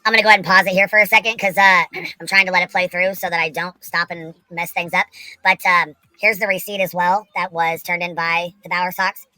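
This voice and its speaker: Bright, lower-pitched woman giving commentary. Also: level tone, fairly quick, no echo, poor audio. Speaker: commentator pausing and reacting to a video. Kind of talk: casual reaction commentary.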